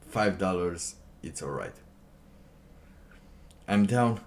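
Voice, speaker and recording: A man doing casual livestream commentary, reading aloud and reacting in a halting, sardonic way, often repeating his phrases. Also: sits low, lively intonation. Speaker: livestream host.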